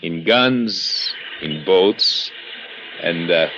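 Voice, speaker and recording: Menacing, mock-polite Caribbean revolutionary, forceful lowish voice, vintage radio drama. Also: male voice, lively intonation, dull audio, a little hiss or hum, heavily acted. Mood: quietly menacing. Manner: suave, mock-courteous, deliberate. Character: suave, menacing Caribbean revolutionary villa host. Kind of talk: 1950s radio drama acting. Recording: dulled old radio, music behind.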